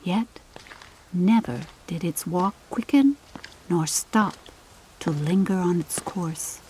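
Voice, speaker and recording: A woman's voice: lowish voice, takes it slowly, a little mellow, a little hiss or hum, rough recording. A woman reading a scripted, dramatic story as an audiobook narrator.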